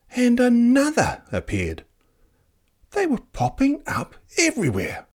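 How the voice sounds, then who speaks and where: A narrator reading a children's book aloud in a dramatic, playful, expressive style. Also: medium pitch, slightly rough. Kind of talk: expressive storybook read-aloud.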